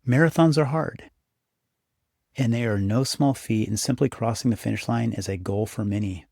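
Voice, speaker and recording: A man narrating a scripted guided meditation as the guide, speaking in an unhurried, encouraging, instructive way. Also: mid-range voice, gravelly, darkish tone, no room sound, studio quality. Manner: unhurried, instructive, encouraging second-person address.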